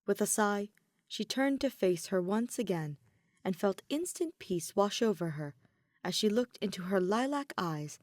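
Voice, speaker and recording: A woman narrating a fan fiction story in an even, measured storytelling manner. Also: mid-range voice, no echo, studio quality. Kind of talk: audiobook narration.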